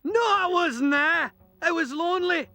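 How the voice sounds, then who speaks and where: A man's voice: very high-pitched, expressive, slow, sharp tone, full character voice. A man voicing a lonely old male Scottish ghost laird with a theatrical brogue, in a cartoon game cutscene. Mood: wistful. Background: music.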